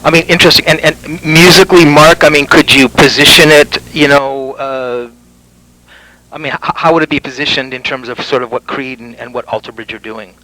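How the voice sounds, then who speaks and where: A man's voice: on the high side, steady tone, a little fast, bright, forceful tone, low-quality recording. A man interviewing a musician, asking questions hesitantly with many fillers.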